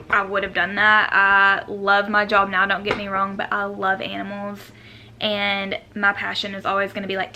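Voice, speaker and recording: A young woman talks in a chatty, self-deprecating vlog style. Her voice is bright and sits at an average pitch for a woman. It is recorded at home with some faint background noise. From Arkansas, United States. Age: 20s. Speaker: vlogger. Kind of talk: casual chatty question-and-answer vlog. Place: room at home.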